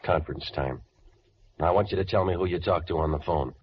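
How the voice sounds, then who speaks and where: A man's voice: low-pitched, fairly quick, a little hiss or hum, natural voice. An actor in a 1940s radio noir drama playing a cynical, wisecracking tough guy who gives slangy, sardonic orders. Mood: commanding, threatening. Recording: dull lo-fi vintage radio recording.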